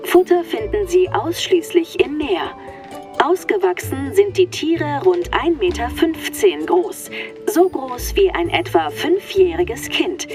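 Talking computer: obliging, instructive, very high and lively, measured pace, children's audio play. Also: female voice, music in the background, exaggerated voice. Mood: helpful, informative. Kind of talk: scripted explanation for children.

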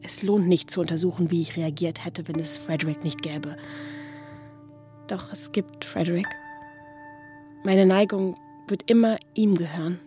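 A woman acting a gentle, thoughtful gentlewoman in her twenties in a German radio play, earnest and restrained. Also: fairly low pitch, fairly even intonation, moderate pace, long pauses, soft background music, natural voice. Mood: earnest, longing.

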